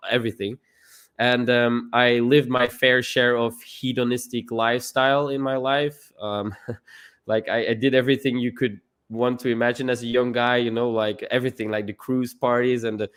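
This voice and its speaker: Guest voice: young man from Belgium, mid-pitched, sincere and talkative, restarts his sentences. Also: fairly bright tone, clean tone. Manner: earnest, repeats words when starting sentences. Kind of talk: casual live-stream conversation.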